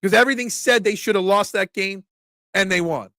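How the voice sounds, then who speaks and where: A man, congested from a cold, hosting a casual sports talk stream, excitable and opinionated, often restarting his sentences. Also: high voice, a little fast, dry recording, clean studio sound. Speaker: sports talk show host. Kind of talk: casual live-stream sports talk, reading chat comments.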